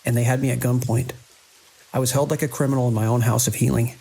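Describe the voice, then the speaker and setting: An actor playing a beleaguered, idealistic hospital director in a horror audio drama, speaking earnestly and urgently in halting phrases. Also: male voice, mid-range voice, fairly even intonation, creaky, warm tone, breathy edge, poor audio. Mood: shaken, haunted.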